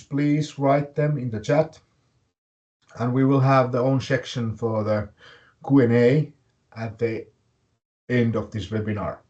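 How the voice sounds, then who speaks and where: Webinar host: man, Finnish accent, mid-range voice, slow and deliberate presenting style. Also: medium pitch, darkish tone. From Finland. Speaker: webinar host and fitness academy director.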